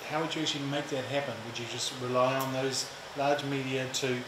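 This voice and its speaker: A man moderates a public panel and presses the speakers with probing questions. His voice is at a middle pitch and echoes around a roomy lecture space. Air conditioning hums in the background. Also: little pitch movement, a little fast, noisy background. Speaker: facilitator. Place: university lecture room.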